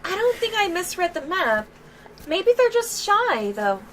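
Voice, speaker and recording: Lady doing a super high chirpy young assistant gal for a game, eager n naive, swoops around, faint noise. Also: very animated, unhurried, full character voice. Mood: cheerful. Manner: bubbly, earnest, exclamatory.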